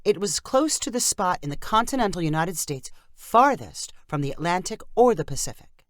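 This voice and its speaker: A woman narrates a short story for English learners in a low-pitched voice. Her delivery moves through a wide range of pitch, and the sound is dry and close, with little room around it. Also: sing-song, moderate pace, dry recording.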